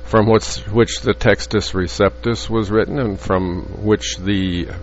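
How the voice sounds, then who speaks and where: A man teaching from the Bible in an earnest, halting way, with frequent restarts and fillers. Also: lowish voice, steady tone. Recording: dull lo-fi audio with faint hum.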